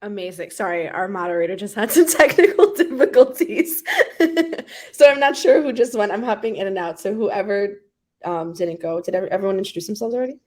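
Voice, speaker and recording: A woman's voice: mid-range voice, lively intonation, fairly quick, darkish tone. A woman chatting casually while standing in as a panel moderator. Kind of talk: casual chat.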